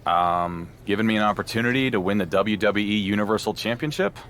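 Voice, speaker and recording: Man playing low-voiced, wry underdog wrestler, noisy background. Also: a little fast, fairly bright tone, lightly acted. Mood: sarcastic. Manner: casual, understated, dryly sarcastic. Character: wry, earnest male underdog pro wrestler. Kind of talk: scripted video game cutscene acting.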